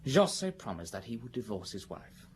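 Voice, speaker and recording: An actor playing an imperious, snobbish magistrate in a British radio drama, with a pompous, exasperated manner. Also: male voice, lowish voice, sing-song, moderate pace. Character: impatient, snobbish examining magistrate, prejudging and imperious. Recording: noisy radio drama recording.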